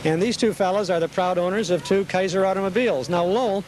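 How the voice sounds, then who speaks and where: A man's voice: high-pitched, quick pace, darkish tone, slight background noise, low-quality recording. A man hosting a show, with announcer-style narration and on-location interviewing.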